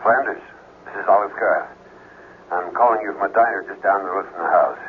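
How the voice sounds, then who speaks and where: Muffled old radio audio with some noise carries a low-pitched male voice. It is an actor playing a determined ghost hunter, grave and foreboding, who stays steady and measured in classic radio drama style. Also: natural voice. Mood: urgent, firm. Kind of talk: 1970s radio drama acting.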